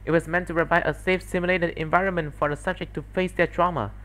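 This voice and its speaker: Visual novel fandub: earnest woman scientist, played by a man, measured explainer carrying guilt, slightly raised pitch. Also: fairly even intonation, fairly bright tone, lightly acted. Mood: earnest, explanatory.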